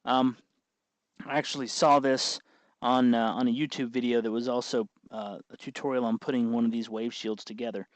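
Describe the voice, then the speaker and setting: A man's voice: medium pitch, fairly even intonation, normal speed, no echo. A man hosting a hands-on tutorial, explaining step by step in an informal, casual way. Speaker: tutorial host.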